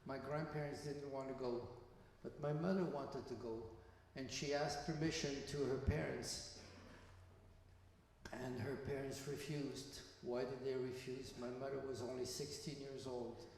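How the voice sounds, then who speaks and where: A man of around 80, from Belgium, giving a personal testimony to students as a guest speaker in a school hall, telling his story in a measured, plain way with small restarts. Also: mid-range voice, steady tone, a little mellow, a little hiss or hum. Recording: echoey hall reverb.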